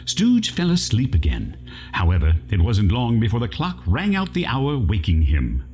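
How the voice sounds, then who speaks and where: A man's voice: lowish voice, wildly swooping pitch, normal speed, music in the background. A man playing a storybook narrator, reading scripted narration in a comic radio play. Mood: storytelling. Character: dry storybook narrator of a parody.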